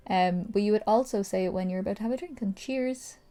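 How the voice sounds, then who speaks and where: A woman's voice: mid-range voice, fast talker, dark tone, faint music behind. Young Irish woman, makeup vlogger, chatty and gushing, talks through each step. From Ireland. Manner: casual, gushing, explains as she goes.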